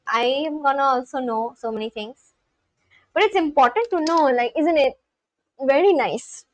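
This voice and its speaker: Young woman, Delhi accent, high voice, dry close sound, chatty livestream talk, reads comments aloud. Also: dry recording, rough recording. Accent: Indian English, Delhi. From India. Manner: chatty, reads chat comments aloud, quick apologetic asides. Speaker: live streamer. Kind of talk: casual livestream chat with viewers.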